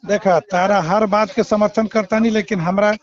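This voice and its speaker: A man's voice: high-pitched, fairly even intonation, moderate pace. A man with a Bihari Bhojpuri accent in an informal phone discussion, placating and giving advice while talking over the other person. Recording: muffled phone call with crowd chatter.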